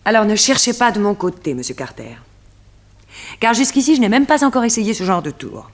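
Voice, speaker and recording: An actress playing a proud, defensive widow in a French radio mystery play, cool, dignified and curt. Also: female voice, medium pitch, very animated, fairly quick. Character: proud, defensive female circus sharpshooter, recently widowed.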